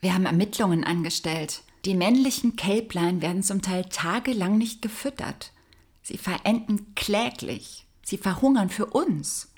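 A woman's voice: mid-range voice, a little slow, a little mellow, husky. An actress playing a grave, accusing female police investigator in an audio drama, speaking with emphatic moral conviction. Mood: grave, appalled.

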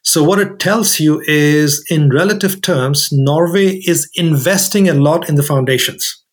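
A man's voice: a little high, unhurried. A man speaking as an expert interview guest, explaining things methodically and setting out his points in order.

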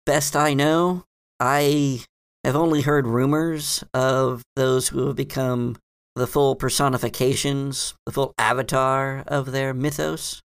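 A man voicing a cryptic, hesitant librarian woman who explains hidden lore in a halting, musing way in a tabletop game. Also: medium pitch, fairly even intonation, clean tone, no echo, clean studio sound, pitched above the performer's own voice. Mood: cautious, thoughtful. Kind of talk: improvised tabletop roleplay.

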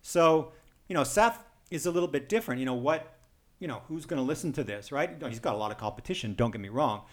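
A man's voice: fairly high pitch, animated, fast talker. A man with a Canadian accent co-hosting a podcast, giving casual opinions in a rambling way that circles back and repeats phrases. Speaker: podcast co-host. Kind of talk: casual conversational review.

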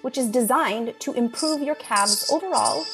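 A woman improvising a parody radio commercial, talking as a mock-earnest expert who pitches a product. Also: moderate pace, a bit bright, soft background music, rough recording. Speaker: improv comedy performer.

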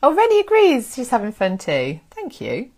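A woman hosting a casual history live stream, chatty and excitable, thanking viewers by name. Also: a little high, moderate pace. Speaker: history livestream host.

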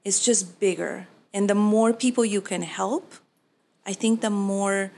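A woman's voice: mid-range voice, slight background noise. Earnest woman. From Peru. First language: Spanish. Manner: earnest, reflective, with restarts. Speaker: mortgage professional. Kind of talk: interview answers.

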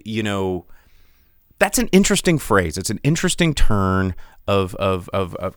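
A man hosting a casual solo podcast, rambling with many restarts and animated asides while he reads chat. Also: lowish voice, no echo, studio quality. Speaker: podcast host.

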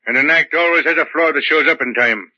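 An actor playing a cynical, weary police detective with a blunt, sardonic manner in a 1940s radio drama. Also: male voice, fairly high pitch, a little fast, bright, forceful tone. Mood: dry, resigned. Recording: dull lo-fi 1940s radio recording.

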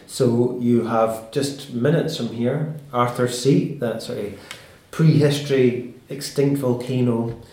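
A man's voice: medium pitch, steady tone, slow pace, hardly any pauses, a little mellow. A man speaking as an interviewer in a thoughtful, tentative, conversational way. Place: indoor room with some echo. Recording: roomy, echoing indoor sound.

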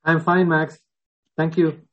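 Male podcast co-host, flat, steady delivery, muffled lo-fi line. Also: fairly high pitch, slow pace. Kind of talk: casual self-introduction. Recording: dull, lo-fi remote audio.

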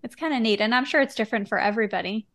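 A woman's voice: a little high, very fast, a bit bright. A woman hosting a podcast, chatting casually and candidly in a reflective, informal way. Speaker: podcast host. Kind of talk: casual conversational interview.

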